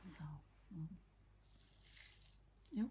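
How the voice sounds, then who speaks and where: A woman's voice: drawn-out words, dark tone, a little hiss or hum. A woman whispering breathily in an ASMR style, explaining things informally step by step with some hesitation. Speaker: ASMR host and energy healer.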